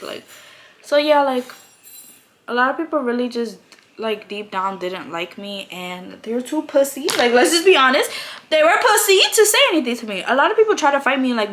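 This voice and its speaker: A young woman vlogging while she does her makeup, telling a candid story in a chatty way, with lots of fillers and swearing, in a slightly babyish voice. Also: medium pitch. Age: young adult. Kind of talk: casual get-ready-with-me storytime. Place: home, doing makeup.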